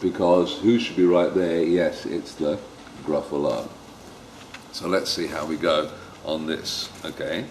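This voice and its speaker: A man teaching how to read stories aloud to children, speaking deliberately and expressively, with coaxing questions. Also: very deep voice, steady tone, a little mellow, a little hiss or hum. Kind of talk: instructional talk with dramatic children's story reading.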